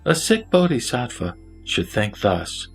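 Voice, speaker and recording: A man's voice: mid-range voice, very animated, a little slow, gravelly, breathy edge, soft background music, heavily acted. A man playing a wise, ailing lay sage who teaches in a solemn, formal way in a scripture audio drama. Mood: solemn, instructive.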